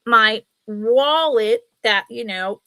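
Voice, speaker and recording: A middle-aged woman hosts a casual livestream sale in a bright, forward voice with a sniffly, congested nose. She talks at an unhurried pace and rambles into chatty asides. The sound is close and dry. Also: on the high side, no room sound.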